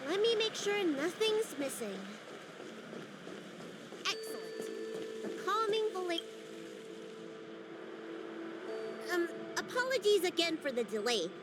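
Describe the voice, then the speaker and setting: A woman playing an earnest, youthful dragon healer in a video game, speaking in a polite, expressive manner. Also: very high voice, a little slow, long pauses, exaggerated voice. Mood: busy, apologetic. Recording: loud music under the voice.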